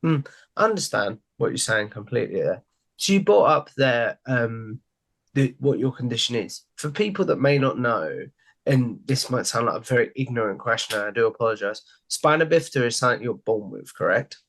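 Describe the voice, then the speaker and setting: A man's voice: medium pitch, lively intonation, brisk, a bit bright. A young man hosts a podcast from his room, talking into a headset on a video call. He asks friendly, loosely built questions and keeps coming back to the same stock phrases. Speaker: podcast host. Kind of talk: casual interview questions. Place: home room.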